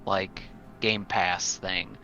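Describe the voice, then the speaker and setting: A man chatting casually as a tabletop game player, halting as he talks, on a slightly muffled, noisy mic. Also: low-pitched, flat delivery, gravelly, bright, forceful tone, soft background music. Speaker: player on a tabletop roleplaying show. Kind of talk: casual chat.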